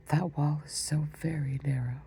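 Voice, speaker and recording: Casting: a woman whispering as a gentle, polite little girl in a storybook; low, dark, hushed delivery. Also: fairly even intonation, moderate pace, gravelly, slight background noise.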